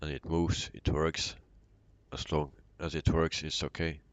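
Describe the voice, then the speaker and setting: Deep-voiced Belgian man on a livestream. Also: flat delivery, no room sound. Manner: halting, unscripted, answering chat. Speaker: flight simulator live streamer. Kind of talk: casual livestream commentary while playing.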